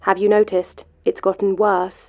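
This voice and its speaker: A woman's voice: mid-range voice, normal speed. An actress playing an eerie automated female caller who speaks politely and formally over a phone line. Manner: polite, flat, oddly formal. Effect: phone line filter.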